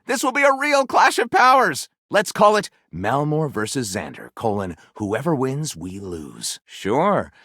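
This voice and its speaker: A man's voice: medium pitch, fairly bright tone, no echo, studio quality. A man playing a flippant, theatrical writer character in a video game, speaking with wry sarcasm and mock grandeur. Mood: theatrical, bemused. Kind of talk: animated game dialogue, mock-grand title announcing.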